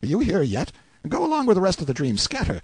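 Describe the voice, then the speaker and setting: A man's voice: mid-range voice, big pitch swings, normal speed, a little mellow, slightly rough, no echo, pitched above the performer's own voice. A man playing a brash, sardonic, boastful hero in an audiobook, with emphatic, exclamatory delivery. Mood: irritated. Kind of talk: audiobook character acting.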